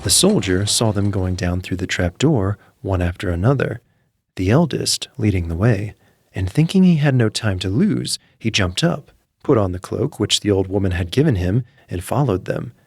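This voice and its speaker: Lively, husky-voiced male storyteller. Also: on the low side, normal speed, dry recording. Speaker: narrator. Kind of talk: scripted fairy tale narration.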